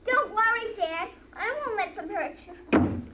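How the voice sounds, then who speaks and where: A bossy little girl with a high voice, earnest and insistent about her games. The performance is old radio drama, childlike and eager. The sound is muffled, like a vintage broadcast. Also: unhurried, very noisy. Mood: matter-of-fact, eager.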